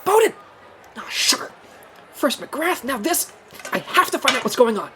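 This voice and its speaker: Very high, flustered agent woman. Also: big pitch swings, moderate pace, fairly bright tone, a little hiss or hum. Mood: flustered, anxious. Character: flustered, anxious undercover agent posing as baking assistant. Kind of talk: audio comedy acting.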